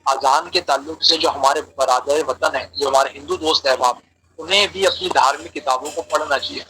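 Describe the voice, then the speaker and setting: A man's voice: on the high side, pushed and bright, slight background noise, poor audio. A man giving a religious lecture on an online call, explaining terms and repeating phrases for emphasis. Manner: explanatory, translates key terms as he goes. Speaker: religious speaker answering questions.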